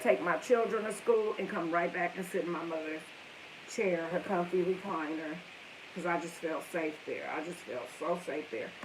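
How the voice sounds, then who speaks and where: Chatty fifty-something lady rambling through a story on a live stream, light hiss behind her. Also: lowish voice. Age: 50s. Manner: chatty, wanders off topic, talks to viewers and family. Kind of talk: casual storytelling to viewers.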